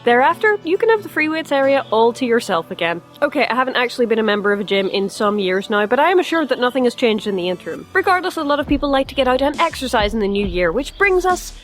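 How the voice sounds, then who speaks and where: A woman's voice: fairly high pitch, very animated, quick pace, few pauses. Wry woman reviewer with background music. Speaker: host of a review show. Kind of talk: scripted video review to camera, casual and chatty.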